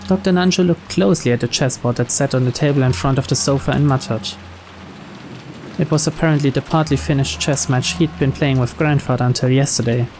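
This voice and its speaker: A man's voice: medium pitch, a little mellow. A man reading a visual novel aloud as scripted story narration. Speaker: video game reader. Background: game background music.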